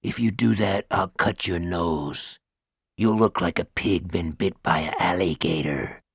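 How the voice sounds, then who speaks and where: A man voicing a cruel male prison bully in a low, threatening whisper. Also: a little slow, husky, no room sound, studio quality, heavily acted.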